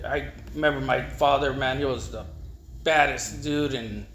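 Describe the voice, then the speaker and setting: A middle-aged man gives an informal personal testimony, rambling and haltingly repeating words. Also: mid-range voice, steady tone, a bit bright. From East Los Angeles, United States. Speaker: speaker at a recovery meeting. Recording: echoey room with faint noise.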